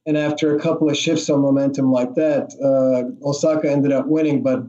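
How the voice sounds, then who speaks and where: A man's voice: mid-range voice, flat delivery, moderate pace, dark tone, highs cut off. A man from Turkey, a tennis coach and writer, talking as a podcast guest in a thoughtful way, often restarting his phrases. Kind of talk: podcast conversation.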